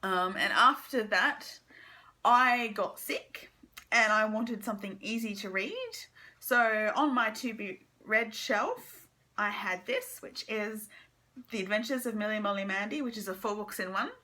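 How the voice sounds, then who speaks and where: A young woman giving a casual, halting book review to camera, informally trailing off and circling back. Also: mid-range voice, sharp tone. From Australia.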